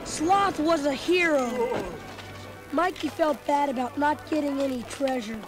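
A woman narrating a children's read-along story record in a clear storytelling manner, with music behind. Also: sits high, unhurried.

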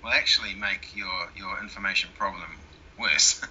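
A man's voice: sits low, steady tone, moderate pace, bright, forceful tone, slight background noise. A man with a New Zealand accent, a guest talking casually in an interview. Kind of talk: conversational interview. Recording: dull lo-fi sound.

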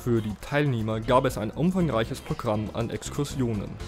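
Male narrator over loud music. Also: mid-range voice, moderate pace. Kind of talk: scripted narration in German.

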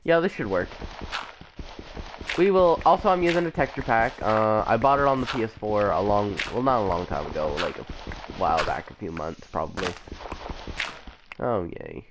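Young guy with a flu, chatty let's play talk, lowish voice that jumps around a lot, noisy mic. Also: animated, moderate pace, pauses often. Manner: rambling, chatty, self-correcting. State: sick with a cough and flu. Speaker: gameplay commentator. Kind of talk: casual let's play commentary.

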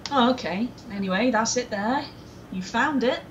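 Young female shop clerk, actress: polite, perky retail pitch, higher voice, noisy home recording. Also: moderate pace, lo-fi sound, close to an everyday voice. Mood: polite, awkward.

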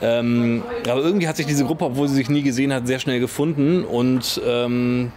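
A man's voice: mid-range voice, fairly even intonation, moderate pace, a crowd in the background. A man in his thirties giving informal interview answers, searching for words as he goes. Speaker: project leader. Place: indoor rehearsal hall. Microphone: handheld interview microphone with windscreen.

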